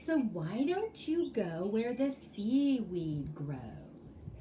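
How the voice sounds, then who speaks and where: A woman's voice: a little high, big pitch swings, extremely slow, warm tone, slight background noise. A woman storytime reader reading aloud expressively to children, with chatty asides and questions to her listeners.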